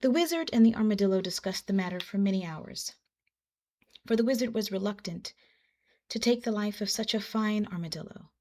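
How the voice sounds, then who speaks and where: A woman storyteller narrating a children's folktale in an expressive style. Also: medium pitch, fairly quick. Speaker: storyteller and host. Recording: music behind the voice.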